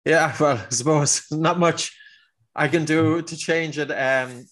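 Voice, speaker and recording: A man's voice: a little high, steady tone, unhurried, fairly bright tone. A middle-aged man with an Irish accent, a guest on a podcast, earnestly explaining his work with a few restarts. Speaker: guest, breathing teacher. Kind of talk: conversational interview answer.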